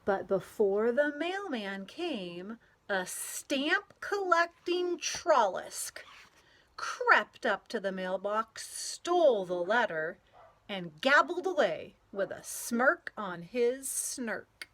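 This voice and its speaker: Slow, animated woman reading a picture book aloud. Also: on the high side. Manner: expressive, drawn-out storytelling with playful sound words. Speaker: storybook read-aloud reader.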